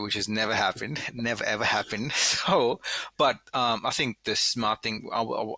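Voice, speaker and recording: A man's voice: fairly low pitch, bright, forceful tone, no echo. A man co-hosting a casual gaming podcast, handing out tips in an emphatic, instructive way. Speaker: podcast co-host giving game tips. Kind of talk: casual gaming advice chat.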